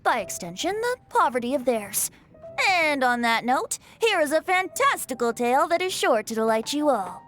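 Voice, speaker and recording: A woman's voice: high-pitched, very animated, normal speed, a bit bright. A woman playing a playful, self-assured young male bard in an anime game, flowery and theatrical, with music behind. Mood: smug. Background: background music.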